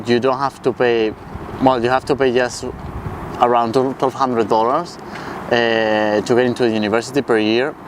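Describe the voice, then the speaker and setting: A man's voice: medium pitch, slight background noise. A man from Spain, a student answering interview questions hesitantly with many fillers.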